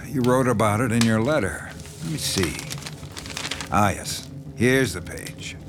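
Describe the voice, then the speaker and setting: An actor playing an assured, persuasive horror writer in an audio drama, coaxing in a controlled, deliberate manner. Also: male voice, medium pitch. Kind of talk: naturalistic audio drama acting. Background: music behind the voice.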